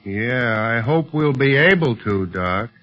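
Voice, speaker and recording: A man's voice: mid-range voice, animated, slow. A man playing a wisecracking, griping pilot sidekick in an old radio serial, with folksy, slangy talk. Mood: comic grumbling. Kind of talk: 1930s radio acting. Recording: muffled vintage 1930s radio recording.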